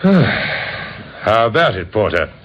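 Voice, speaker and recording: An actor playing a courteous, thoughtful police inspector in an old radio drama, hesitant and dryly ironic. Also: male voice, lowish voice, very animated, takes it slowly, fairly bright tone, husky, heavily acted. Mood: gently wry. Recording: muffled, hissy old radio recording.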